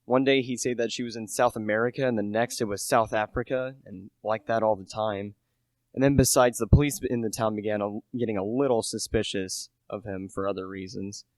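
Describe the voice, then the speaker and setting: Teen actor as earnest low-voiced young salesman. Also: steady tone, fairly quick, no room sound, lightly acted. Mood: eager, chatty. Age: teenager. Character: earnest, humble British relish salesman narrating a murder mystery. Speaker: actor in a student radio play. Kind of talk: radio play acting.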